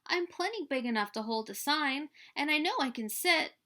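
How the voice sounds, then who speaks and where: A woman's voice: high-pitched, animated, normal speed, bright, forceful tone, voice pushed up. A woman voicing an eager, earnest young girl in a storybook, with childlike directness.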